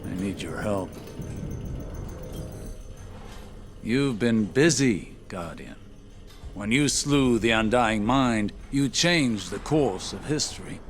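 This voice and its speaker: An actor playing a wise, weary old warlock mentor in a video game, speaking in a measured, portentous way. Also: male voice, lowish voice, lively intonation. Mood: grave. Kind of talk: video game cutscene acting. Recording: loud music behind the voice.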